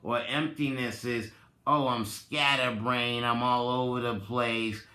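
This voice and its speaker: A man speaks slowly and emphatically in a bright, forceful voice, like someone delivering a dramatic reading. His delivery is deliberate and reflective, and the room around him adds a clear echo. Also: medium pitch, steady tone. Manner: deliberate, emphatic, with pointed rhetorical questions. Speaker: host giving a reading and commentary. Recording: roomy, echoing sound.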